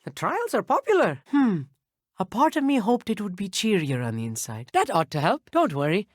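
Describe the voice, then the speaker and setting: An actor playing an earnest, bookish teenage schoolboy wizard in a video game, polite and a little nervous, with precise, slightly formal speech. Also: male voice, high-pitched, wildly swooping pitch, moderate pace, dry recording, clean studio sound, full character voice. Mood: earnest, friendly. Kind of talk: scripted video game dialogue.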